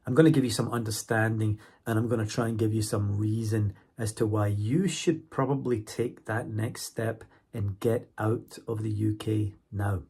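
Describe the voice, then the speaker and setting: A man's voice: fairly low pitch, steady tone, moderate pace, gravelly, hardly any pauses, mellow, breathy edge. A Scottish man in his forties with a cold, talking casually to camera as a YouTuber and checking in with his viewers as he goes. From Scotland. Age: 40s. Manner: chatty, keeps checking in with viewers. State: has a cold.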